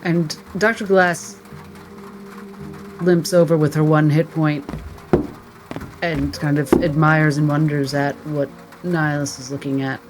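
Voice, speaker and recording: Slow-speaking woman over clear music. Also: low-pitched, steady tone, low-quality recording. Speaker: tabletop game player. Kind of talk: third-person game roleplay narration.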